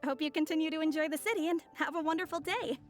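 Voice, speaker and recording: High-voiced, courteous young woman traveler played by a woman. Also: soft background music, natural voice. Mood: earnest, courteous. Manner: courteous, measured, gently inquisitive. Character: polite, composed, friendly young woman traveler from afar. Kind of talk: video game voice acting.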